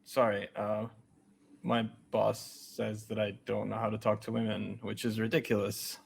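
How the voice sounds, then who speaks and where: A man reading the part of a blunt male coach who is awkward with women, shouting at times and mumbling at others, at a podcast table read. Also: on the low side, monotone, normal speed, gravelly, a little hiss or hum.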